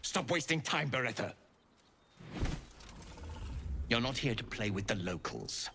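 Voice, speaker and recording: An actor playing a merciless, scornful Githyanki knight commander in a fantasy video game, speaking in a commanding, theatrical way. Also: male voice, medium pitch, very animated, brisk, vocal fry, heavy background noise. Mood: stern, impatient.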